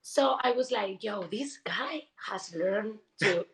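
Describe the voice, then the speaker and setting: A woman's voice: medium pitch, animated, slow pace, fairly bright tone, echoey room. A woman hosting a casual interview, friendly and loose, laughing easily. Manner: friendly, loose and giggly, thinks aloud with fillers. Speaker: interview host.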